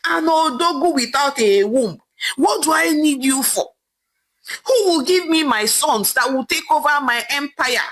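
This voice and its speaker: Domineering rich Nigerian husband, played by a woman storyteller, scolding and threatening, forceful, raised high pitch. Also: lively intonation, measured pace. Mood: furious, threatening. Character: furious, domineering rich husband disowning his wife. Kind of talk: dramatic folktale storytelling.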